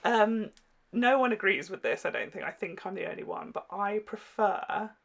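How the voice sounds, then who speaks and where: A man's voice: sits high, expressive, normal speed, vocal fry, fairly bright tone. Young man, casual enthusiastic reaction host.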